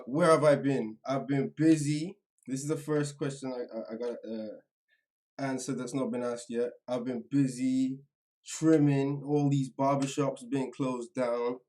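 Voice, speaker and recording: Halting, casual male livestreamer. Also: mid-range voice, a little mellow. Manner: informal, slangy address to viewers; halting, distracted, trailing off mid-sentence. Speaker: livestream host. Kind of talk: casual live-stream chat. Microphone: some distance from the microphone.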